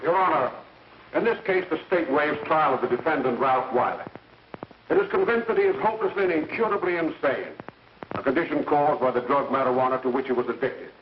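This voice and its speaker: Echoey, high-voiced American prosecutor played by a man. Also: expressive, fairly quick, close to an everyday voice. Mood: declamatory. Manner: formal, declamatory. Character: formal male state prosecutor addressing the court. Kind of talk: 1930s film acting, courtroom address. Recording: distant, echoey, muffled, noisy 1930s soundtrack.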